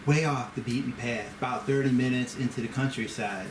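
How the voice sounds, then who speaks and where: A man's voice: mid-range voice, steady tone, fairly quick, roomy sound, background noise, natural voice. A man playing a courteous, folksy elderly Southern office boss with a drawl in a screenplay table read. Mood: gossipy, grave.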